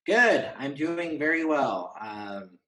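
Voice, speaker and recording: A man's voice: on the high side, lively intonation, takes it slowly, fairly bright tone, rough recording. A man from Northern California, chatting casually as an interview guest in a rambling, slangy way. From Northern California, United States. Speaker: interview guest, horror journalist. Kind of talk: casual chat.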